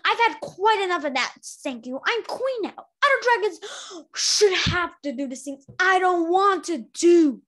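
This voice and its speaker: A proud young dragon queen performed by a woman reading a storybook aloud, high-pitched and indignant. She snaps her words out with exasperated, defensive emphasis. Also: expressive, unhurried, fairly bright tone, full character voice. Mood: snappish, defiant.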